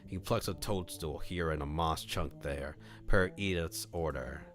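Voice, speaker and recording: A man reading a visual novel aloud on a stream, mixing expressive narration with casual commentary. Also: rumbling low, measured pace. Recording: music under the voice. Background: background music.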